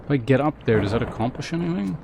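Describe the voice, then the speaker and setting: A man's voice: medium pitch, animated, fast talker, gravelly, noisy background. A man casually commentating on a video game live, thinking aloud in a hesitant way as he chats with viewers.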